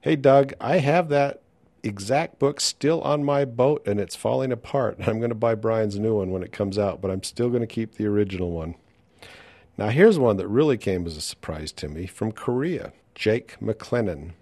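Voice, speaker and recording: A man with a fairly low voice hosts a podcast in a casual, chatty way. He speaks informally and directly to his listeners, and the sound is dry and close. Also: normal speed, a little mellow, no room sound. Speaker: podcast host and interviewer. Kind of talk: casual podcast talk, reading listener reviews and announcements.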